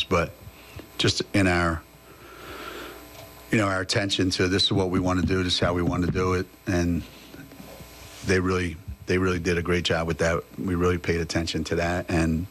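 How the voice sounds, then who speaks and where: A man in his sixties, a head coach answering at a press conference, halting with many fillers and restarts into a table mic. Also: sits low, fairly bright tone, slight background noise, poor audio.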